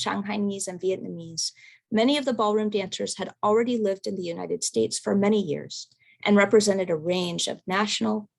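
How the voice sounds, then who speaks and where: A woman reads a prepared research paper aloud over a video call. Her voice sits in a middle pitch with a gravelly, fry-tinged edge. She keeps a careful, measured pace. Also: poor audio. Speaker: academic presenter. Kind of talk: reading a prepared research talk.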